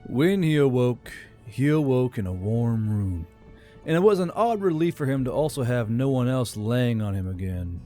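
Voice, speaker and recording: A man's voice: medium pitch, animated, moderate pace. A man reading scripted fantasy fiction as an audiobook narrator. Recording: soft music bed under narration.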